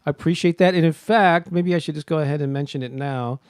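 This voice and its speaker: Chatty man with a higher voice. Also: fast talker, clear, resonant tone, no room sound, clean studio sound. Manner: chatty, greets viewers by name, laughs often. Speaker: host. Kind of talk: casual livestream chat.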